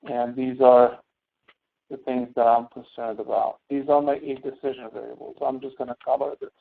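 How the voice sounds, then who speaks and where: Over a narrow, phone-like online meeting connection, a man gives a tutorial. His mid-pitched voice has a lot of creak. He explains step by step in a halting, instructional way. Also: level tone. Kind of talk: tutorial walkthrough over screen share.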